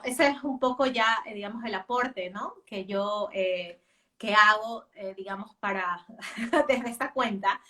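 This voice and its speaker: A woman's voice: medium pitch, bright, forceful tone, recorded from a distance. A woman, a native Spanish speaker, talking as a guest about homeschooling, with many fillers and restarts. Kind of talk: casual interview talk.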